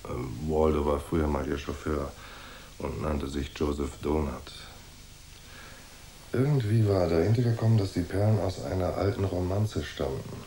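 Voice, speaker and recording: An actor playing a cool, unflappable private detective who narrates a noir radio drama in a dry, laconic way. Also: male voice, extremely low pitch, fairly even intonation, normal speed, warm tone, slight background noise. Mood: cool, matter-of-fact. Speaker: first-person narrator and detective.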